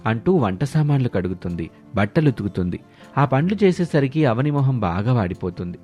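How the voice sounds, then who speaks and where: A man's voice: medium pitch, very animated, brisk, warm tone. A man narrating a scripted family moral story as a storyteller. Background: background music.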